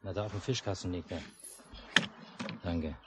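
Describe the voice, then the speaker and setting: An actor playing a stoic, dryly grumbling country father and narrator in a 1950s German radio play, laconic and unhurried. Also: sits low, fairly even intonation, a little mellow, a little hiss or hum, close to an everyday voice. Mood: dry, unruffled.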